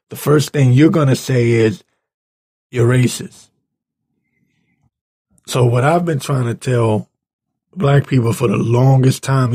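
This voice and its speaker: A man with a raspy, hoarse voice giving an informal opinion rant as a commentator, halting, blunt and exasperated. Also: mid-range voice, darkish tone. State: hoarse, clearing his throat. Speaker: political commentator reading tweets and articles.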